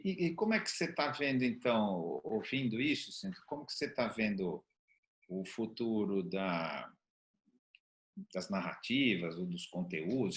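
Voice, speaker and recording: A man's voice: lowish voice, fairly bright tone. A man with a Brazilian accent, hosting an interview with informal, meandering questions. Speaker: interview host. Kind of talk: conversational interviewing. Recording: roomy, echoey sound.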